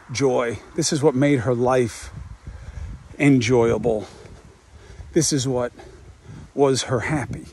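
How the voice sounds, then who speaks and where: A man's voice: medium pitch, a little mellow, a little hiss or hum. A man in his fifties hosting a podcast, talking through a reflective monologue outdoors on a walk, musing and asking rhetorical questions. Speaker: podcast host. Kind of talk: reflective monologue while walking.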